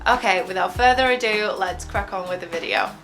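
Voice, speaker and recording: A woman's voice: medium pitch, brisk, fairly bright tone, soft background music. A woman hosting her design channel, introducing a guest with upbeat, giggly asides. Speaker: host, interior designer. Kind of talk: YouTube channel intro and interview.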